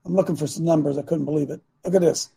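A raspy older man holds forth as a talk show host, rambling and preaching between throat clears. His voice sits high for a man but sounds dark and husky, with a gravelly, phlegmy edge. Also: steady tone, rapid-fire. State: phlegmy, coughing. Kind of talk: casual political commentary.

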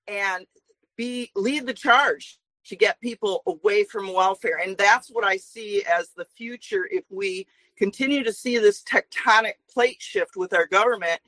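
A woman's voice: medium pitch, a bit bright, dry recording, low-quality recording. A woman in her forties, a traveling minister, talking earnestly as a guest on a talk show with frequent fillers. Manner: earnest, talkative, many fillers.

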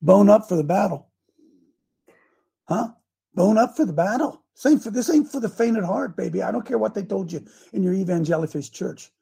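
An older man hosting a morning talk show, with a gravelly, hoarse voice, folksy and emphatic, repeating his phrases as he preaches. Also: sits high, lively intonation, brisk, dark tone. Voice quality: gravelly, raspy voice. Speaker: host.

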